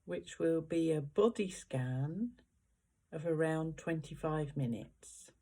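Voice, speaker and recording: Very slow, soft-toned meditation guide. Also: mid-range voice. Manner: gentle, unhurried instruction with long pauses.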